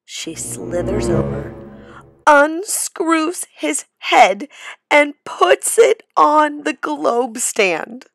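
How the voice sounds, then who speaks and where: A woman reading a children's story aloud in a theatrical, suspenseful, expressive way. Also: high-pitched, very slow, creaky, no echo, studio quality, soft background music. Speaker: storybook reader. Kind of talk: dramatic children's read-aloud narration.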